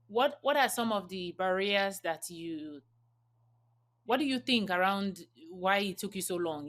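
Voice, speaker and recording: A woman hosting an interview, asking measured, probing questions. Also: mid-range voice. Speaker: podcast host.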